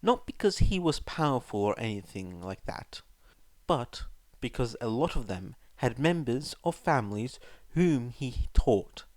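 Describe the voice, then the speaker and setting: Male fiction narrator: medium pitch, measured pace, scripted storytelling, very little room sound. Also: no room sound. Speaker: fan fiction audiobook narrator.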